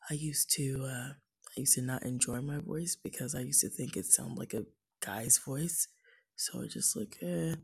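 Woman, livestream host; naturally raspy, breathy, soft-spoken musing. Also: rumbling low. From California. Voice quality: raspy, husky. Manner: soft, half-whispered musing, frequent ums. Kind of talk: casual live-stream chat.

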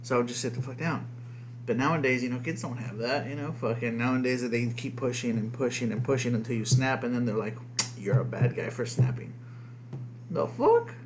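A man's voice: medium pitch, a little fast, a little hiss or hum. A young man, a dad who grew up speaking Spanish first, chatting loosely and cursing a lot on a podcast. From United States. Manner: profane, rambling, heavy on fillers. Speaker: podcast co-host. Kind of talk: casual podcast chat.

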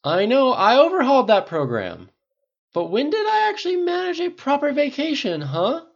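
An audiobook narrator voicing a forceful, tipsy, exasperated businesswoman with an emphatic, complaining manner. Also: mid-range voice, big pitch swings, moderate pace, dull audio.

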